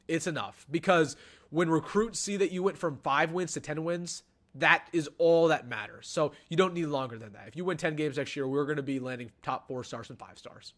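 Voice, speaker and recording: A man's voice: on the high side, moderate pace. A young man, hosting a casual live-stream sports show and chatting through viewer questions. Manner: chatty, animated, answers chat questions. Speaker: sports channel host.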